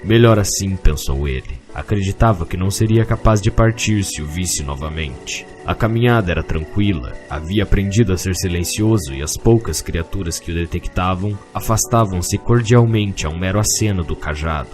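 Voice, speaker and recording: A man with a Brazilian accent narrating a scripted fantasy story in a measured, literary way. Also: low voice. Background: music.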